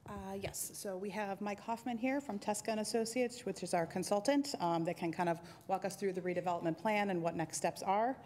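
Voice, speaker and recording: A woman's voice: medium pitch, fairly quick, few pauses, darkish tone, a little hiss or hum. A woman on village staff briefing the board on how the hearing will proceed, in a matter-of-fact way.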